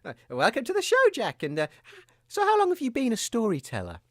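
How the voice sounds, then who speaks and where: Man playing an excitable radio co-host. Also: extremely high pitch, fast talker, no room sound, clean studio sound, heavily acted. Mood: chatty hosting. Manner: mischievous, faltering, excitable. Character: teasing, giggly male radio co-host. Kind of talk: comedy radio banter.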